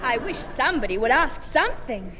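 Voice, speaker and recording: A woman's voice: sits high, big pitch swings, brisk, a crowd in the background. A woman playing a haughty, impatient teenage elder sister in a 1960s radio sitcom. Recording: echoey, noisy vintage radio recording.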